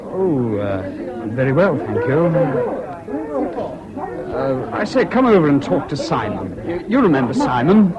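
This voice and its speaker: An actor playing an awkward, evasive English ex-airman in a radio play, speaking in a diffident, halting way. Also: on the high side, big pitch swings, over a noisy crowd. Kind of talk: 1970s British radio drama acting. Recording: dull old radio recording, busy background noise.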